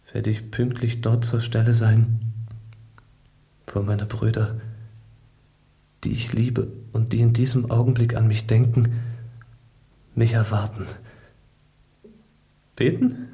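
An actor in a German radio play voicing a young condemned revolutionary, proud and hardened, speaking in a grave, resolute, measured way. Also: male voice, fairly low pitch, mellow. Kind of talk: dramatic radio play acting.

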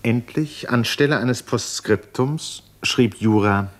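A man narrating a literary 1950s radio drama in a grave, measured storytelling manner. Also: medium pitch.

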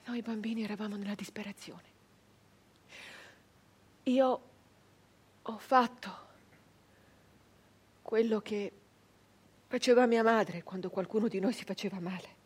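An actress playing a grief-stricken 19th-century Italian peasant woman turned brigand, in an intense, halting theatrical monologue with repeated words. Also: mid-range voice, steady tone, slightly rough. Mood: shaken, grieving. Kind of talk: dramatic theatrical monologue, radio drama.

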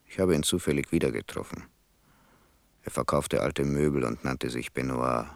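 Very deep, gravelly hardened criminal. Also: male voice, normal speed, no echo. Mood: grim, cold. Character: hardened ex-convict robber, grimly confessing in a letter. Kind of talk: radio drama letter monologue.

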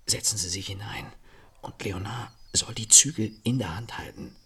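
An actor in a radio drama playing a confident, clever young gentleman adventurer who speaks with romantic assurance. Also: fairly low pitch, moderate pace, breathy edge, heavily acted. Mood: resolute.

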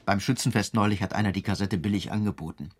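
Husky policeman played by a man. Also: lowish voice, fast talker, natural voice. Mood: matter-of-fact. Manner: matter-of-fact, colloquial. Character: dutiful, casual male police subordinate reporting to boss. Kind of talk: German radio drama acting.

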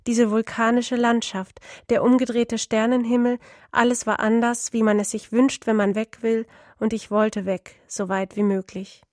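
A woman's voice: a little high, darkish tone, dry recording, studio quality. A woman author reading her own literary prose in a narrative style.

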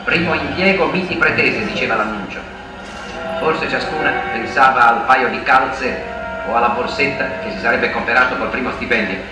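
A man's voice: medium pitch, normal speed. A man reading scripted narration in the style of a 1950s newsreel. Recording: echoey distant old newsreel soundtrack. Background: loud music.

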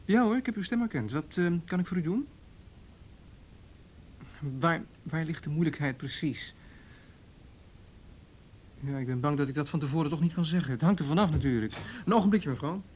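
A man's voice: a little high, expressive, normal speed, long pauses, a little mellow, breathy edge. An actor playing a patient, courteous and slightly touchy policeman in a naturalistic radio drama. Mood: patient, official.

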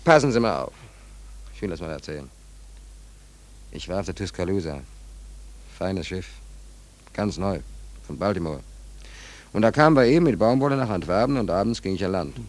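A man's voice: deep voice, lively intonation, normal speed, pauses often. A man acting in a 1940s German radio play as a stranded young sailor, telling his misfortune in rambling, colloquial, wry phrases. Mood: wistful, confiding. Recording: old 1940s radio recording.

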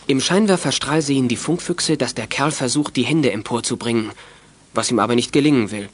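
A man's voice: mid-range voice, fairly quick, a little hiss or hum. A man narrating a youth radio drama as a scripted, dramatic story.

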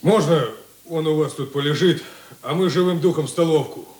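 An actor playing a cheerful, cheeky police operative in a radio play, breezy and playfully mock-formal. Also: male voice, on the high side, moderate pace, darkish tone, close to an everyday voice. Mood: breezy, cajoling. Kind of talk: Russian radio drama acting. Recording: echoey, noisy, distant from mic.

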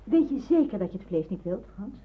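An actress playing a lively, helpful young woman in a wartime radio drama, speaking eagerly. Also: medium pitch, brisk, husky, narrow, tinny audio. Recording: thin, noisy old radio recording.

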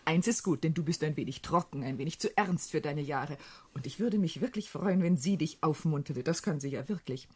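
An actress playing a teasing, frank young widowed sister in a radio drama, playful and chatty with a husky voice. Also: female voice, sits low, fairly quick, a little mellow. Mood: cheerful, frank. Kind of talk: German radio drama acting.

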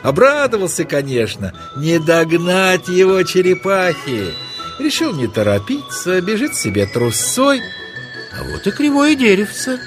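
A man narrating a children's story in a dramatic, expressive, exclamatory style. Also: high-pitched, measured pace, over music.

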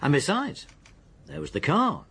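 English police detective, radio drama, bit rough and husky, factual and respectful, lively intonation. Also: male voice, fairly high pitch, exaggerated voice. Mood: confident, deferential. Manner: deliberate, factual, deferential. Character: methodical, deferential male police detective, confident and matter-of-fact. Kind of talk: British radio drama acting.

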